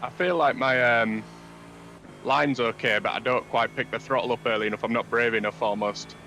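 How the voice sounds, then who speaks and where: Bright-voiced modest man on voice call. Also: mid-range voice, fairly even intonation, normal speed, vocal fry, slight background noise. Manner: modest, easygoing, laughs at himself. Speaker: racing teammate. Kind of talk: casual chat on voice call.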